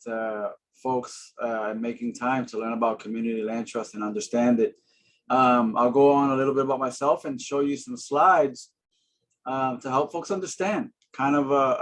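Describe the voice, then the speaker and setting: Earnest man in his forties on a webinar. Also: medium pitch. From Boston, Massachusetts. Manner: earnest, friendly, many fillers. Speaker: housing panelist. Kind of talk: webinar presentation.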